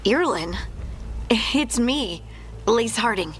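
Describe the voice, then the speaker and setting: A woman's voice: fairly high pitch, expressive, takes it slowly, fairly bright tone, faint music behind. An actress playing a friendly, plainspoken female scout companion in a fantasy video game. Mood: friendly, earnest. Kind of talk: cinematic video game dialogue.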